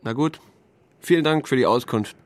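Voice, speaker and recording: An actor playing a dry, steady small-town sheriff, narrating and talking in a radio drama. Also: male voice, mid-range voice, normal speed, no echo, natural voice. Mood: understated. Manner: dry, understated. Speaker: narrator and lead character.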